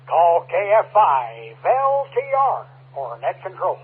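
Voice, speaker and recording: A man's voice: on the high side, sing-song, takes it slowly, slightly rough. A man running an amateur radio check-in net in a folksy, casual way, often repeating and correcting himself. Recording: narrow telephone-like ham radio audio.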